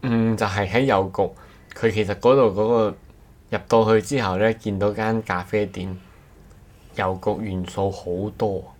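A man's voice: on the low side, steady tone, a little slow. A man co-hosts a casual podcast, talking hesitantly and restarting his phrases. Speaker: podcast co-host.

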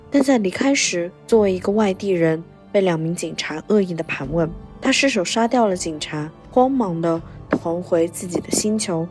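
A woman's voice: on the low side, darkish tone. A woman hosting a podcast and narrating a scripted story recap.

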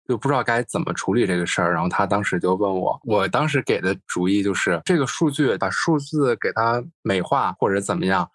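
A man with a fairly low voice hosts a casual podcast. He tells anecdotes at a rapid clip, with colloquial asides. The sound is very dry and close. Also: a bit bright, breathy edge, dry recording. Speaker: podcast host.

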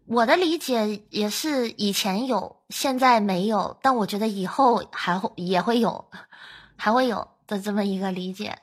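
A woman from northern China hosting a podcast, chatting casually in an expressive way full of little exclamations. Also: mid-range voice, moderate pace. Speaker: podcast host. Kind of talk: casual chat.

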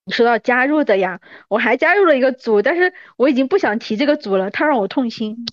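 30s woman, highish voice, teasing casual chat, sound a little muffled. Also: lively intonation, quick pace, no echo. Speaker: podcast guest. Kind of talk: casual chat between old friends.

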